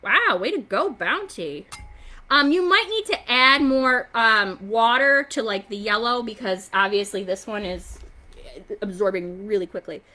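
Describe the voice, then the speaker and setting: A woman with a fairly high, very bright voice leads a science activity for young children. She sounds like an excitable, encouraging story time host, speaking simply and directly to her little viewers. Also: unhurried.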